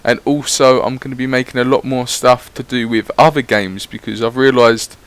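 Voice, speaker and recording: A man's voice: medium pitch, flat delivery, moderate pace, clean tone. A man giving casual, rambling voice-over commentary on his gameplay, swearing freely as he chats. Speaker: gaming YouTuber.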